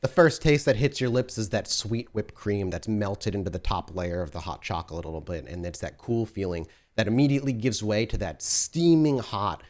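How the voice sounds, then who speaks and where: A man's voice: on the low side, fairly quick, few pauses, darkish tone. A man running a tabletop role-playing game as storyteller, giving vivid sensory descriptions with frequent restarts.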